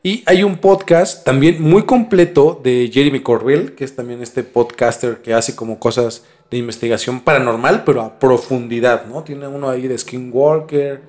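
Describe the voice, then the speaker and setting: A man's voice: medium pitch, moderate pace, hardly any pauses, a little mellow. A man hosting a mystery podcast in a conversational presenting style. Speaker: podcast host.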